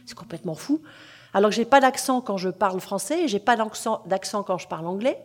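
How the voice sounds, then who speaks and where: A woman novelist talking in an interview, lively and self-deprecating, with frequent hesitations. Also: mid-range voice, fairly quick. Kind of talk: interview conversation.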